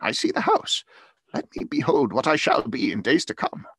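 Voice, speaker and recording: Old storybook miser acted by a man: frightened, urgent, formal, questioning, husky edge, recorded dry. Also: mid-range voice, huge pitch swings, normal speed, a bit bright, no echo, pitched above the performer's own voice, heavily acted. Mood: urgent, anxious. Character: anxious, pleading old miser facing a spirit. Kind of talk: storybook character voice in audiobook.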